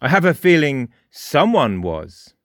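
Guy putting on a sly fox-like teen boy, high and sing-song, rapid-fire mocking. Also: very animated, dry recording, studio quality. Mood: boastful. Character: mischievous teenage boy, fox-like, cocky and theatrical. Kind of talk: audiobook character voice.

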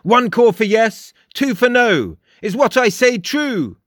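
A man's voice: extremely high pitch, very animated, takes it slowly, fairly bright tone, dry recording, clean studio sound, voice pushed up, heavily acted. A man voicing a smug, theatrical young male mystic in a storybook, declaiming with pompous emphasis. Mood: smug, grand. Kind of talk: audiobook character dialogue.

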